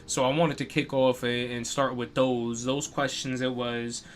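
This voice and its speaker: A man's voice: medium pitch, level tone, a little slow, slight background noise. Laid-back young man hosting a stream. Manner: laid-back, chatty, frequent "you know" fillers. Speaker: streamer and podcast host. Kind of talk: casual live-stream chat.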